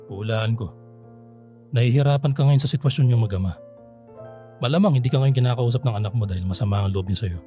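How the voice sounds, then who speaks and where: A man acts out an older man weighed down by guilt over love. His Filipino-accented voice is dark and soft-edged, earnest and hesitant. It is radio drama with music behind the voice. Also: mid-range voice, fast, long pauses, lightly acted. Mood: concerned. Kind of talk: radio drama acting and narration.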